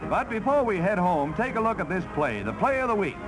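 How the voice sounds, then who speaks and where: Man, American accent, very lively intonation, punchy theatrical 1940s newsreel commentary, loud music behind, vintage film sound. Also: high voice, fairly quick, low-quality recording. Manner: punchy, colourful, theatrical announcing. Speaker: newsreel narrator.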